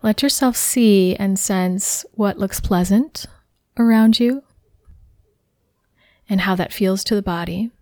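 Mellow woman guiding meditation, close and dry. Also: mid-range voice, dry recording. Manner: soothing, unhurried, gently instructing. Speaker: meditation guide. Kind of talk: guided body scan meditation.

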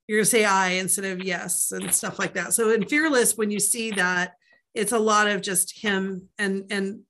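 A woman's voice: medium pitch, fairly even intonation, moderate pace, a bit bright, slight background noise. A woman author chatting casually in a podcast interview, rambling with fillers and asides. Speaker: author guest.

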